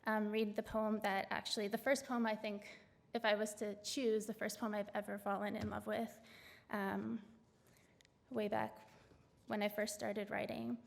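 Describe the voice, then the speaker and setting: A woman from Canada reading her poems on a stage, halting and full of fillers between poems and measured within them. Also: mid-range voice, little pitch movement, gravelly. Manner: hesitant, filler-laden asides between measured poem readings. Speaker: poet reading her work. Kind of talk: poetry reading with spoken introductions. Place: large auditorium stage. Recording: long hall reverb.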